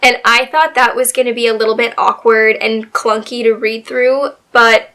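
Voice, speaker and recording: A woman getting over a cold, her voice a little raspy, chatting casually and gushing about books she loved, with lots of fillers. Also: a little high, steady tone, moderate pace, bright, forceful tone. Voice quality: slightly raspy. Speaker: book video host. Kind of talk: casual book review chat.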